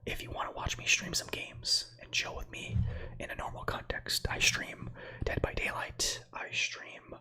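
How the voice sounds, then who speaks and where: A man in his thirties whose first language is Slovak, whispering softly as an ASMR streamer who reads space facts with chatty, meandering asides to viewers. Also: measured pace. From United States. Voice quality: breathy whisper.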